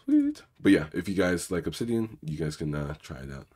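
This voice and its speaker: A man with an extremely deep, rumbling voice that is rough and husky because he is sick. He talks casually and in a rambling way as he walks viewers through his code, filling pauses with "um" and "like" and muttering as he clicks around. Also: wildly swooping pitch, a little mellow. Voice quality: low rumbly voice. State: sick, more raspy than usual. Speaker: cybersecurity channel host. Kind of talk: casual live coding walkthrough, reading viewer questions.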